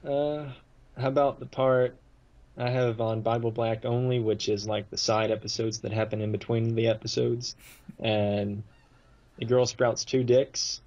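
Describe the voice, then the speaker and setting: A man's voice: mid-range voice, little pitch movement, unhurried, darkish tone, lo-fi sound. A man chatting casually as a guest on a talk podcast, telling rambling stories in loose, colloquial grammar. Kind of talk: casual chat.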